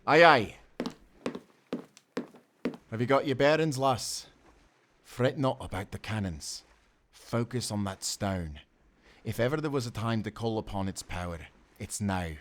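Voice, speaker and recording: A man's voice: on the low side, animated, a little slow, pauses often, fairly bright tone, a little hiss or hum. A man playing a loyal, salty sailor in a nautical audio drama, protective and urgent, with dramatic seafaring turns of phrase. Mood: urgent, determined. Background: music.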